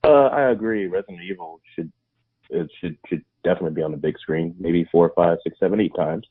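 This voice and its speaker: Male podcast co-host, low and dry, heard over a tinny line. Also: brisk, breathy edge. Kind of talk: casual podcast chat.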